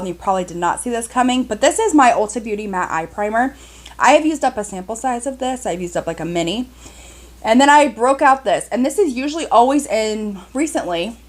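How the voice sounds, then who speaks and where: A young woman chatting casually through a makeup tutorial, upbeat and full of fillers, her voice a little cracky today. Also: on the high side. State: slightly cracky voice. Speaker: beauty vlogger.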